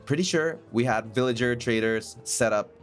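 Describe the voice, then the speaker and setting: A man narrating his gameplay in a chatty, self-mocking voice-over, a bit raspy from a cold. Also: medium pitch, moderate pace. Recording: faint background music.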